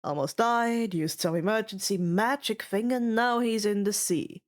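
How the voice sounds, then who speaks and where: A woman playing a jaded, sardonic male god in an audiobook, with a deadpan drawl. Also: medium pitch, a little slow, lightly acted. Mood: drawling, flat.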